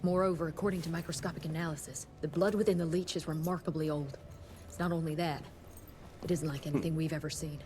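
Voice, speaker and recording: A woman's voice: low-pitched, steady tone, quick pace, noisy background, close to an everyday voice. A woman as a prim, formal lady scientist. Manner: crisp, formal, stiffly polite. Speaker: doctor and head of a secret cell. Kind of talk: video game cutscene acting.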